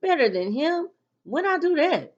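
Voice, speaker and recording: A woman's voice: high voice, sing-song, a little slow, pitched above the performer's own voice. Woman acting teenage schoolgirl, hurt yet defiant, informal, storybook reading, dull sound. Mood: indignant. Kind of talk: first-person novel read-aloud.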